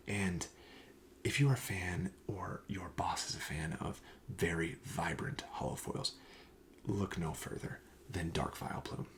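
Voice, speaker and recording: A man speaking softly in an ASMR shop-clerk roleplay, often dropping to a breathy whisper, with a gentle, explanatory sales manner. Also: sits low. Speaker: host playing a card shop clerk in a roleplay. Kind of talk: soft-spoken ASMR roleplay.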